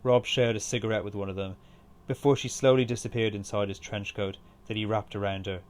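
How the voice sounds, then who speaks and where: A man's voice: fairly low pitch, fairly even intonation, brisk. A man reading his own literary short story as scripted narration.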